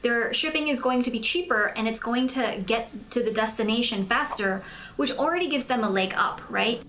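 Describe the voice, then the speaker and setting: Higher-pitched young woman giving a tutorial. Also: fairly bright tone, slight background noise. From Malaysia. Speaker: host of a business tutorial channel. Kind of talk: scripted tutorial to camera, conversational.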